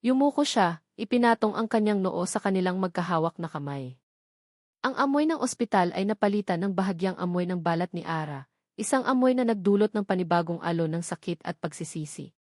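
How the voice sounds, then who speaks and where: A woman's voice: on the low side, lively intonation, fairly quick, darkish tone, no room sound, studio quality. A woman narrating a romantic drama story in a scripted audiobook style.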